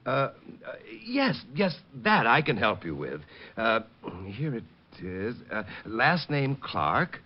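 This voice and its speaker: Fussy male manager, hesitant and over-polite, swooping pitch, old radio detective show, muffled recording. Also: wildly swooping pitch, slow pace, full character voice. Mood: flustered. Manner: hesitant, stammering, prissily polite. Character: fussy, flustered male office manager of a messenger service. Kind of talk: 1940s-50s radio detective drama acting.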